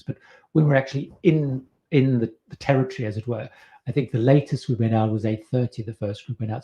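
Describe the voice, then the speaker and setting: Man, middle-aged, mellow tone, casual livestream host, rambling with fillers. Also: medium pitch. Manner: rambling, many fillers and restarts. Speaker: livestream host answering viewer questions. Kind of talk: casual live Q&A.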